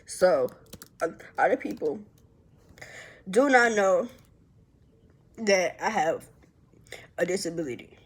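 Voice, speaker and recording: Slow, halting young woman who stutters. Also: lowish voice, animated, slightly rough, rough recording. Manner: halting and hesitant, with many pauses and restarts; addresses viewers as y'all. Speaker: vlogger. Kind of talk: personal vlog, talking to viewers.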